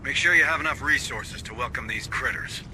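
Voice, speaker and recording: Man playing a commanding sergeant over loud rumbling noise. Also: fairly quick, close to an everyday voice. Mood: firm command. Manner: commanding, clipped orders. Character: commanding Marine squad sergeant, gruff, middle-aged father. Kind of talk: video game voice acting.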